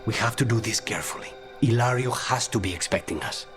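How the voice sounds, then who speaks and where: An actor playing a brooding, cautious assassin in a video game, speaking in a terse, guarded manner. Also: male voice, mid-range voice, fast, close to an everyday voice. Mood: wary resolve. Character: brooding, cautious assassin man, grimly purposeful. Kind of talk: video game cutscene acting. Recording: music clearly behind the voice.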